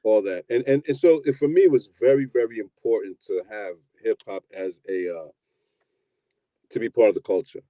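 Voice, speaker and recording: A man in his fifties from New York telling his story in a relaxed, rambling interview, often repeating words as he starts. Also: on the low side, normal speed, no echo, narrow, tinny audio. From New York, USA. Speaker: guest, producer and DJ.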